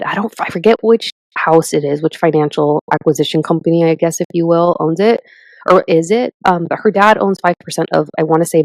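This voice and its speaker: A woman in her thirties co-hosting a podcast in casual chat, animated and chatty, with lots of "like" and "literally". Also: fairly low pitch, dry recording, poor audio. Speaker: podcast co-host.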